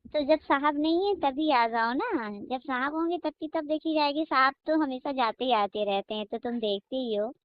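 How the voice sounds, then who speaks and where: Woman acting a flirtatious housewife, high-pitched, muffled. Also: moderate pace, few pauses, no echo, close to an everyday voice. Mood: coaxing. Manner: coaxing, suggestive, persistent. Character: flirtatious, lonely married housewife, coaxing and forward. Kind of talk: staged casual phone conversation. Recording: dull, lo-fi, dry call-style recording.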